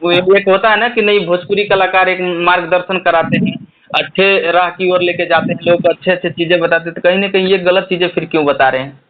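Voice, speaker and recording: Male interviewer, high-pitched voice, putting questions over a thin telephone connection. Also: steady tone, brisk. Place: phone call. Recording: tinny phone call recording.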